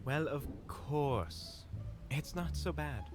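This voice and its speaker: An actor playing a playful, skittish monster hunter with a teasing manner in an audio roleplay. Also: male voice, a little high, expressive, takes it slowly, over music.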